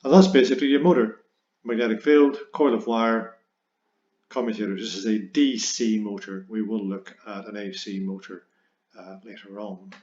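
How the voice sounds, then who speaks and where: A man's voice: fairly low pitch, steady tone, moderate pace, darkish tone. A man teaching a physics lesson, explaining step by step and stressing key words. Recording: roomy echo, dull lo-fi sound.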